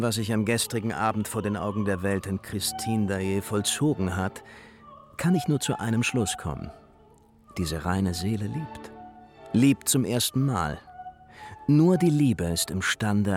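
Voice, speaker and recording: An impassioned male chronicler of the nineteenth century speaks in a low voice. His delivery is grandiose and theatrical, a radio-play report set over prominent music. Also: animated, measured pace. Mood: rapturous, grandiose. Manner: declamatory, grandiose. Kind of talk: dramatic period news report.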